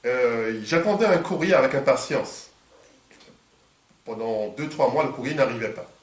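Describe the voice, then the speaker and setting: A man interviewing, halting with many fillers and restarts, a little stuffed up from a cold. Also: mid-range voice, animated. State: congested from a cold. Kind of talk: hesitant conversational interview. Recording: echoey room, distant mic.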